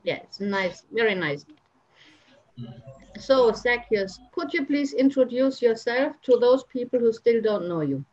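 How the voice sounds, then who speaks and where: Woman, online panel host, fairly high voice, casual, muffled internet call audio. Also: animated, unhurried, darkish tone, soft background music. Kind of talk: casual video-call hosting. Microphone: video call.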